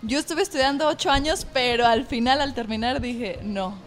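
A woman's voice: fairly high pitch, normal speed, faint music behind. A woman with a Mexican accent chatting casually and informally as a singer guest on a show. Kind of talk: casual chat.

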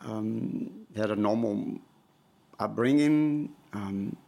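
Guy in his 50s, pastor, gravelly low voice, lots of ums, chatting in a podcast interview. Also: dull audio. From Brazil. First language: Portuguese. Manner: hesitant, many ums and pauses. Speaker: pastor and missionary. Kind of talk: casual interview.

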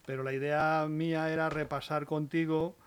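A man's voice: fairly high pitch, little pitch movement, moderate pace, smooth. A man from La Guardia in Spain with a Castilian accent, chatting casually as a radio guest and often repeating phrases as he goes. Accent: Castilian Spanish. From La Guardia, Toledo, Spain. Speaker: interview guest, municipal archivist. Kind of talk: casual radio conversation about local history.

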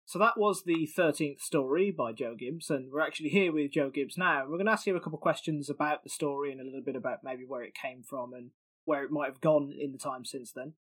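A man's voice: fairly high pitch, fast, a bit bright, husky, poor audio. A man hosting a podcast and asking interview questions in a conversational way.

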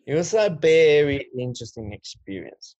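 A man's voice: fairly high pitch, sing-song, normal speed, darkish tone, no room sound. A man in his twenties from Chile, telling his life story as an interview guest in a rambling way with many restarts. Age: 20s. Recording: dull lo-fi dry sound.

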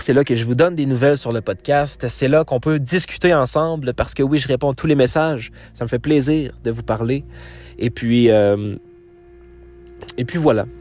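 A man from Quebec speaks with a Quebec French accent as he wraps up his podcast. He talks casually, circling back with fillers and restarts, at a middle pitch. Faint music plays under his voice. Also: a little mellow. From Quebec, Canada. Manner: rambling, many fillers and restarts. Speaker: podcast host. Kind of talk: casual solo podcast outro.

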